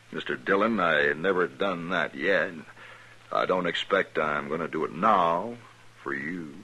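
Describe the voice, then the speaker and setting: A man's voice: sits low, slow pace, long pauses, pushed and bright, slightly rough, dull audio, heavily acted. A smug sneering gunman. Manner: sneering, mock-friendly threats. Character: smug menacing male gang leader gunman. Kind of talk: 1950s radio drama acting.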